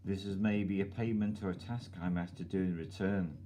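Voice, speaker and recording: A man's voice: low-pitched, steady tone, normal speed, dark tone. A man hosting his channel, reading a listener's email aloud over music. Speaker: channel host.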